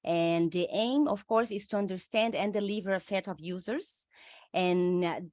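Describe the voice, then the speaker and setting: A middle-aged Brazilian woman, a native Portuguese speaker, answering interview questions in English with a Brazilian accent, careful and measured, with frequent hesitations. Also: medium pitch, a little mellow, no room sound, clean studio sound. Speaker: UX researcher guest.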